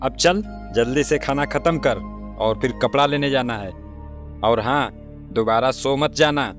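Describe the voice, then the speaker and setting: A man playing a bossy, nagging donkey owner in a children's animated story, scolding and giving orders. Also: medium pitch, measured pace, faint music behind, natural voice. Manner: scolding, commanding.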